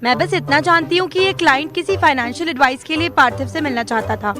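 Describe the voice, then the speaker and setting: A woman's voice: sits high, fast talker, music in the background, natural voice. A woman acting a bold, glib young woman with an Indian accent in an audio drama, confident and cheeky. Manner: confident, cheeky, mixes in English phrases.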